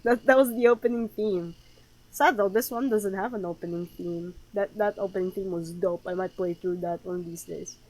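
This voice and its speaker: A woman doing casual let's play commentary at a medium pitch. She is sarcastic and reactive, talking back to the game as she plays. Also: fairly even intonation, moderate pace, a little mellow, low-quality recording. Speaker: game streamer.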